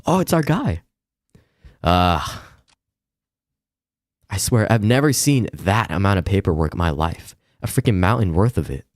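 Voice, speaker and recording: A man's voice: low voice, sing-song, unhurried, pauses often, clean studio sound. A man reading a visual novel aloud on a casual stream, stumbling now and then and tossing in giggly asides. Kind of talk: casual livestream read-along with reactions. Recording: roomy echo, music behind. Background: music.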